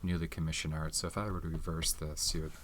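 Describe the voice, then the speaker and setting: A man with a low-pitched voice talks softly in a casual ASMR style. He rambles along hesitantly and without hurry. There is some background noise, with tapping and clicking from things being handled. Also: little pitch movement, fast talker. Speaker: video host showing movie collection. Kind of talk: soft-spoken ASMR casual movie chat. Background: tapping and handling of movie cases.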